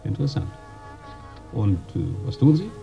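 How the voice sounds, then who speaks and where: A man's voice: medium pitch, very animated, normal speed, vocal fry, dark tone, highs cut off, soft background music. An actor playing a jovial but condescending European trade agent in a radio drama, chummy and swaggering in his colloquial talk. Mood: boastful, affable.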